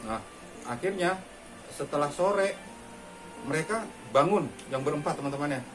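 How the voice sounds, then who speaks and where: A man telling a fable to his children in a chatty, halting way, full of fillers. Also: on the high side, animated, music in the background, poor audio. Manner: chatty, halting, many fillers, addresses listeners as friends. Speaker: father telling a bedtime-style story to his children. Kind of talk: casual storytelling.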